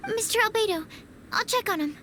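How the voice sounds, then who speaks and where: A woman's voice: very high voice, expressive, a little fast, bright, forceful tone, slight background noise, heavily acted. A woman voicing a timid, polite young female alchemist who stammers and apologizes. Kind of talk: video game voice acting.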